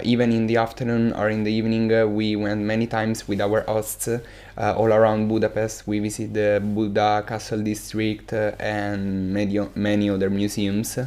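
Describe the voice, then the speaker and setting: A man's voice: fairly low pitch, a little mellow. A seventeen-year-old man with an Italian accent, answering casually in an interview and hesitantly searching for words. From Italy. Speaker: exchange student guest.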